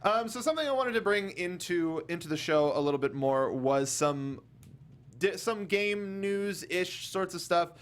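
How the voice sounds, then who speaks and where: Higher-voiced man hosting a casual panel show. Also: animated, moderate pace. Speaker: talk show host. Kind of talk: casual panel chat reviewing films.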